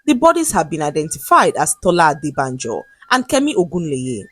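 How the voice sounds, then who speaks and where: A woman storyteller voicing a formal, measured television news anchor delivering grim news. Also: sits low, sing-song, breathy edge, dry recording, faint music behind, heavily acted. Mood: grave formal. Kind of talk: news bulletin within a story.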